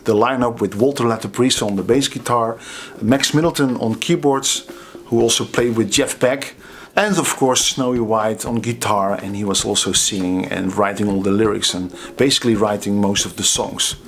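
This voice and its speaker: A middle-aged Dutch drummer with a Dutch accent, telling anecdotes in a chatty, casual way to the camera. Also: male voice, lowish voice, steady tone, normal speed. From Netherlands. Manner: chatty, anecdotal, laughs at his own stories.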